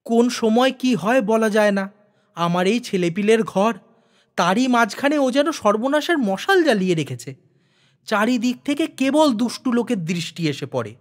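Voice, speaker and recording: A fretful, complaining aunt from an audio storybook, acted out by a man. The voice sits high and sounds plaintive and dramatic, full of worry over the household. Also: lively intonation, moderate pace. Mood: anxious complaining. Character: anxious complaining aunt, fretting about her orphaned niece.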